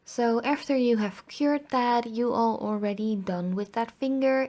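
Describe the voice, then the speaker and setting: Slightly hoarse young woman. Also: mid-range voice, a little mellow. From Netherlands. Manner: chatty, lots of fillers like "um" and "like". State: slightly hoarse, recovering from illness. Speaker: nail art video host. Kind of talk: casual voice-over tutorial.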